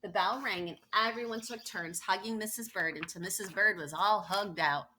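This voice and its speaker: Bright-voiced woman reading a children's story. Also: mid-range voice, normal speed, slight background noise. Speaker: reader of a children's picture book. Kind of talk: expressive read-aloud storytelling with asides.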